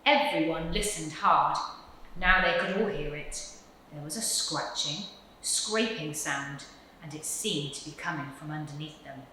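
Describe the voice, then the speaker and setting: A woman reading a children's picture book aloud with expressive, dramatic storytelling emphasis. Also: low-pitched, a little slow, slight background noise. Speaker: story reader. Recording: very echoey room.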